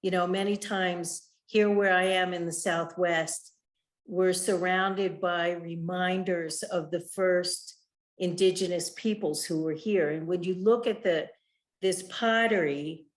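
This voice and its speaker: A woman interviewing an artist over a video call, asking thoughtful, measured questions with frequent "you know". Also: fairly low pitch, steady tone. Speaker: interviewer, writer and curator. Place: home in the Southwest.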